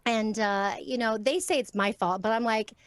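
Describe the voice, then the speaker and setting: A middle-aged woman from the United States talking casually on a video call from her home, halting and restarting, laughing easily. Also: on the high side, clear, resonant tone, no echo. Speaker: voice actor guest. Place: home room with sound panels.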